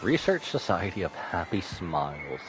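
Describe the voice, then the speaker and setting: Man, very deep voice, lively intonation, joking game streamer, casual commentary, soft music behind. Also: normal speed, rough recording. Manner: joking, wry. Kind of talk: casual live commentary with read-aloud dialogue choices.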